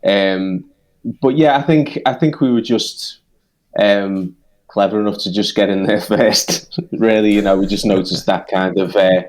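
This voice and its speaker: A man with a Liverpool accent, a songwriter and podcaster answering casually in a halting, relaxed way. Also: fairly low pitch. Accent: Liverpool English. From United Kingdom.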